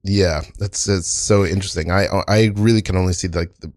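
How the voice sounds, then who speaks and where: A man hosting a casual comedy panel chat, talking in a halting, excitable way and often repeating himself. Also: deep voice, no room sound, clean studio sound. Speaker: improv podcast host.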